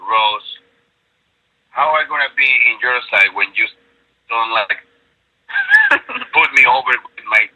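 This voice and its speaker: A man's voice: mid-range voice, slow, long pauses, lo-fi sound. A man chiming in from the side, playfully teasing the host. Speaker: guest chiming in.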